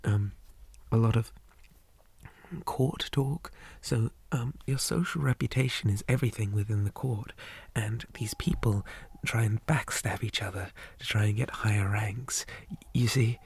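A man playing a shy, polite zombie boy in an ASMR roleplay, speaking softly, often in whispers, hesitant and flustered with old-fashioned manners. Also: lowish voice, a little slow, full character voice. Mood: nerdy, eager. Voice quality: soft, breathy, often whispered.

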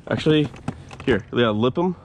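A man in a kayak talks his way through a day of fishing in a loose, chatty manner and swears freely. His voice sits at an ordinary middle pitch. It is captured outdoors on a head-mounted camera with a faint hiss of ambient noise behind him. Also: animated, moderate pace. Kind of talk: casual narration while fishing. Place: outdoors in a kayak on a swampy creek. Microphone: head-mounted action camera.

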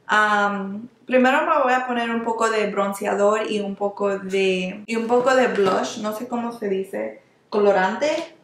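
Young woman doing a chatty makeup review, keeps restarting words, mid pitch, echoey room. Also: measured pace, slight background noise. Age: young adult. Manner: chatty, often restarts words and slips into English terms. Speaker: beauty vlogger. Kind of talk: casual product review while applying makeup.

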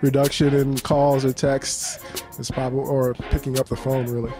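A man on a city sidewalk giving measured, explanatory answers. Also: medium pitch, flat delivery, loud music behind the voice. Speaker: interviewee. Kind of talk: casual street answers.

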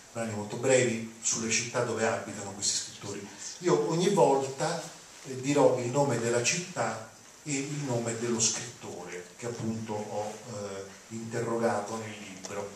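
Older man in a very echoey hall. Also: mid-range voice. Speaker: lecturer introducing readings. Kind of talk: informal spoken introduction to a lecture. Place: auditorium, recorded from the audience. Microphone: handheld mic, picked up by far camera. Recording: echoey hall, distant mic, background noise.

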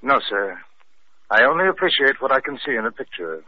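A stiff, disapproving old butler acted by a man from a radio mystery. He is formal and deferential, speaking in a medium-pitched voice. The recording is thin and phone-like. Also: big pitch swings, a little fast, a little hiss or hum, natural voice. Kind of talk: old-time radio acting.

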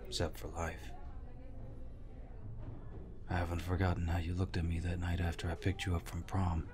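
An actor playing a gruff, protective older war veteran in an intimate audio roleplay, deep-voiced, tender and teasing. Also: male voice, completely flat delivery, moderate pace, crowd noise, lightly acted. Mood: troubled, confessing.

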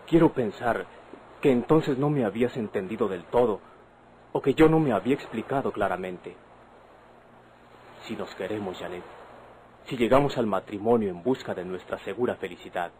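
Guy playing earnest mexican husband in old radionovela, lowish voice, formal and persuasive, bit of background noise. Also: a little mellow, natural voice. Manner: measured, persuasive, formal diction. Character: earnest young husband, bandit's son, pleading with his wife. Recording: noisy vintage radio drama recording.

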